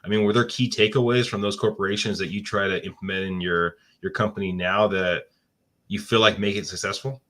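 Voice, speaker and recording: A man's voice: lowish voice, steady tone, fairly quick, a bit bright. A man hosting a podcast, asking rambling, hesitant questions. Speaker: podcast host. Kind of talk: interview questions.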